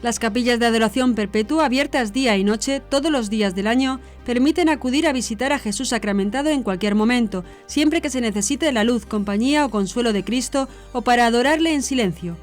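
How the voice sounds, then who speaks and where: High-voiced woman reading news. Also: fairly quick, few pauses. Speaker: news presenter. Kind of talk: scripted news narration. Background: background music.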